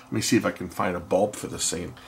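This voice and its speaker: Low-voiced man explaining, with background noise. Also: fairly even intonation. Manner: halting, self-correcting, with frequent pauses and repeats. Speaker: host of an electronics repair channel. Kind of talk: unscripted hands-on technical explanation.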